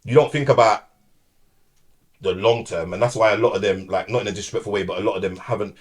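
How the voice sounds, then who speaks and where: Middle-aged man, rapid-fire podcast host, chatty. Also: lowish voice. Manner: fast, animated storytelling with repeated fillers. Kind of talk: casual chat.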